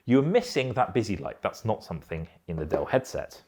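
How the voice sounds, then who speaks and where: A man speaks as a product reviewer, explaining things in a measured way. His pitch moves up and down in a lively, animated fashion, and the delivery is that of a prepared review. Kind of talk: scripted-sounding headset review.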